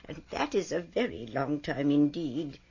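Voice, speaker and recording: An actress playing an old woman weaver in an audio drama, a patient but stern motherly mentor who instructs in a hushed, deliberate way. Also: deep voice, expressive, husky, lo-fi sound, heavily acted. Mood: patient, instructing.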